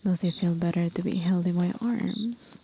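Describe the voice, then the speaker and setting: A woman voicing a gentle, aged, mysterious demon of the forest in an intimate, soothing, breathy half-whisper for an ASMR roleplay. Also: lowish voice, moderate pace, slight background noise. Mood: soft, coy.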